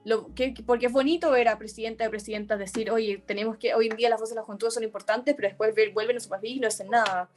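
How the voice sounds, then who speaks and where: A woman's voice: fairly high pitch, fairly even intonation, quick pace, few pauses, a little mellow, faint music behind. Earnest young Chilean woman. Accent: Chilean Spanish. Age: young adult. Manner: earnest, many restarts and self-corrections. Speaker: youth activist guest. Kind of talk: conversational interview.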